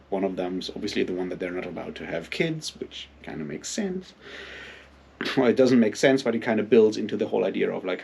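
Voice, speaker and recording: A man giving a casual, unscripted book commentary in a halting, rambling way, with plenty of filler phrases. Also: low voice, gravelly, darkish tone, slight background noise. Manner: halting, hesitant, rambling delivery with frequent hedging filler phrases. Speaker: book reviewer and readalong host.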